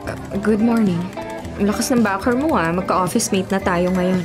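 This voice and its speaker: A woman's voice: medium pitch, moderate pace, over music, natural voice. An actress playing a bitter, prickly young office woman in a Tagalog radio drama, speaking with a Filipino accent in a curt, sarcastic way. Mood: sarcastic, defensive.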